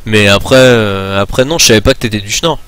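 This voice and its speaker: A man's voice: lowish voice, brisk, fairly bright tone, smooth. A man in his twenties from France, chatting casually while streaming a game, rambling with many fillers and restarts, talking into a desk mic at his computer. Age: 20s. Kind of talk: casual chat while gaming live.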